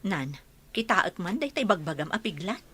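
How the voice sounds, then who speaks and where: A woman's voice: on the low side, sing-song, normal speed, a bit bright, slight background noise. A woman playing a grumbling but caring, no-nonsense village aunt in a radio drama, blunt and scolding. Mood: stern concern.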